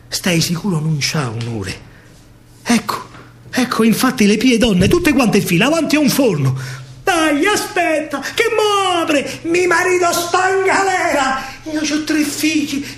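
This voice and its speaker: A man with a Roman accent narrating dramatic verse on stage, declamatory, with pauses and drawn-out words. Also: sits high, a little hiss or hum. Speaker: actor-storyteller in a solo stage show.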